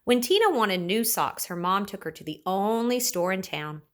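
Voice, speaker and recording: A woman reading a children's story aloud in an expressive, playful manner. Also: medium pitch, moderate pace. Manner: animated, with playful repetition and sound words. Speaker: storybook reader.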